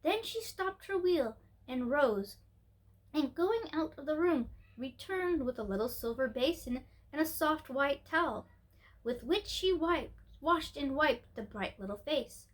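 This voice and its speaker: Female storyteller, high register, expressive read-aloud style for children's books. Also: a little slow, low-quality recording. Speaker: storyteller, host of a storytime show.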